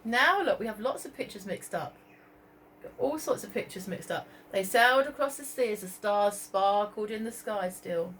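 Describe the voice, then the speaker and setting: A woman's voice: medium pitch, moderate pace. Woman teacher reading a story, noisy background. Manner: expressive, asks the listeners questions and points out pictures. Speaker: primary school teacher. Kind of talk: storytime read-aloud for young children.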